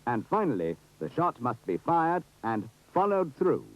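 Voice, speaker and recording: Formal British man narrating, lively intonation. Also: medium pitch, measured pace. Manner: formal, precise, authoritative. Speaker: training film narrator. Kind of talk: scripted instructional narration. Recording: dull, muffled 1970s film soundtrack.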